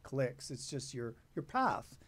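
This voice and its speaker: A man's voice: medium pitch, very animated, takes it slowly, fairly bright tone. A man hosting an interview show, asking questions and offering encouraging remarks. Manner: encouraging, affirming, short interjections. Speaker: talk show host. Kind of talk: casual interview chat.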